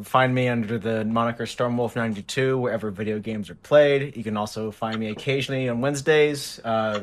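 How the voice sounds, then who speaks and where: Wordy man introducing himself. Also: mid-range voice. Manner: wordy, elaborate phrasing. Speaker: player.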